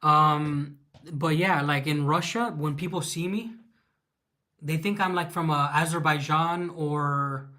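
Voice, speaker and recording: A man's voice: a little high, fairly even intonation, slow, sharp tone. A man with a Mexican accent hosting a casual live Q&A, reading viewer questions aloud and answering them with fillers and restarts.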